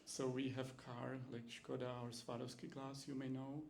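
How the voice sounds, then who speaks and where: A man with a Czech accent gives a lecture demonstration, his voice gravelly and low-edged with fry. He speaks at a middle pitch, stopping and restarting often as he works through English, and a faint hiss sits under the recording. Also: level tone, a little mellow. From Czech Republic. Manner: halting, many fillers and restarts in second-language English. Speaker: university lecturer. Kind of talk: teaching demonstration talk.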